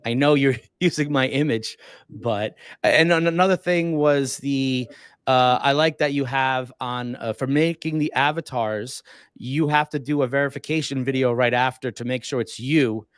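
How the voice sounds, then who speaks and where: A man's voice: medium pitch, moderate pace, clear, resonant tone, no echo. Man hosting a casual interview, dry sound. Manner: rambling, thinks aloud with fillers. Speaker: host.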